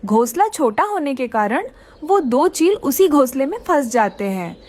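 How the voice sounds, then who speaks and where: Woman narrator for children's tales: high-pitched, very expressive melody, scripted storytelling. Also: a little slow.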